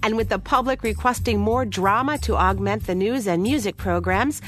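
American lady cohost reading scripted radio history, average pitch, faint music. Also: moderate pace, fairly bright tone. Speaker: podcast co-host.

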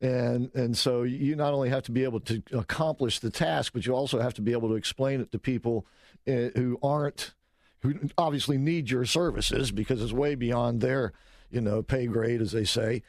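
A man's voice: medium pitch, moderate pace, no echo. A man hosting an interview show, asking long, meandering questions with plenty of hesitations. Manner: relaxed, rambling questions with many "uh"s. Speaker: radio show host.

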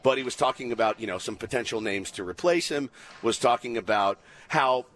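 Male co-host, medium pitch, blunt and opinionated, casual sports radio chat. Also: brisk, a bit bright. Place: on location at a spring training complex.

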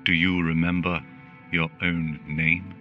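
An actor playing a caring but menacing government relaxation robot in a dystopian radio drama, putting slow, rhetorical questions. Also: male voice, sits low, little pitch movement, dull audio. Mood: eerie soothing. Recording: music under the voice.

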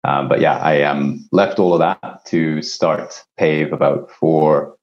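A man's voice: a bass voice, steady tone, slow, lo-fi sound. A man from Japan speaking as an executive guest, explaining things conversationally in an interview. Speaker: company CEO, podcast guest.

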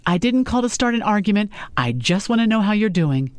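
A woman doing a stern middle-aged mother, higher and louder than the narration, scolding, pushy, dry close sound. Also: sing-song, a little fast, no echo, natural voice. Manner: scolding, pushy, talks over her daughter.

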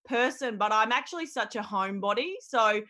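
A woman's voice: a little high, expressive, normal speed, pushed and bright. A young woman who hosts a radio show, chatting casually in an interview with jokes and plenty of fillers. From Australia. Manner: jokey, self-deprecating, rambling with fillers. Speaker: radio host guest.

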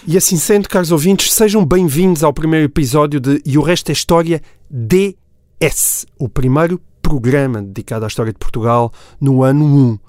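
A man playing an earnest history podcast host, deadpan and mock-grave. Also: fairly high pitch, dry recording, studio quality, lightly acted.

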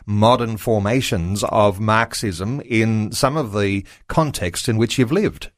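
Man, interviewer, measured questions, dry sound. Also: lowish voice, dry recording.

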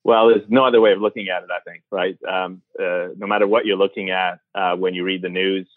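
A man's voice: sits low, little pitch movement, a little fast, no echo. A man, a guest born in Iran and raised in Canada, answering interview questions thoughtfully with many fillers. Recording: tinny phone-like line.

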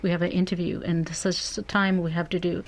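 Burmese-accented woman, low-pitched. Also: little pitch movement, a little fast. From Burma. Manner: earnest, searching for words, many "you know" fillers. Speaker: interview guest, community advocate. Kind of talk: conversational interview.

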